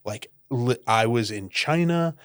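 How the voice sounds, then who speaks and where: A man's voice: mid-range voice, a little slow, smooth, no room sound, clean studio sound. Chatty man, guest on a podcast. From Northeastern United States. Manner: animated, laughs often, lots of "like" fillers. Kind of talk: casual conversation.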